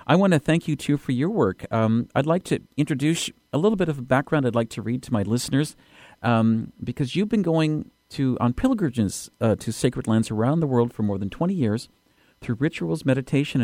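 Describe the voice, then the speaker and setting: Male radio host, mellow tone, halting delivery, dry studio sound. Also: medium pitch, no echo. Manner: halting, with many restarts and fillers. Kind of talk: interview.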